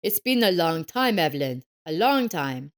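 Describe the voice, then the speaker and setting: A woman's voice: on the low side, very animated, moderate pace. Woman reading as a terse, grudging middle-aged father. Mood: grudging, restrained. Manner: terse, unimpressed.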